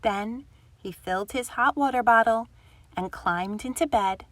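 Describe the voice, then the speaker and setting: A woman storyteller reading a children's picture book aloud in an expressive narrating style. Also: fairly high pitch, a little slow.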